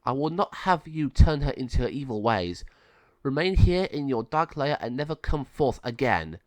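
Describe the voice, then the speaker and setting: A man's voice: mid-range voice, big pitch swings, normal speed, a little mellow, no echo, voice pushed up, natural voice. Man as a wise, firm godmother sage, dry sound. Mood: stern.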